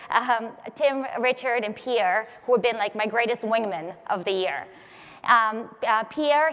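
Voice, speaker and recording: A woman from Ukraine who grew up in Israel, a non-native English speaker, giving a founder's conference pitch built on personal storytelling. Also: fairly bright tone. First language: not English. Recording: reverberant hall.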